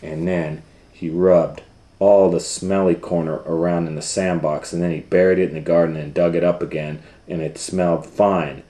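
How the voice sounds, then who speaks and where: A middle-aged man reading a picture book aloud for children, dropping to whispers and rising to loud excited voices for the story. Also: deep voice, normal speed, reverberant. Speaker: storytime reader.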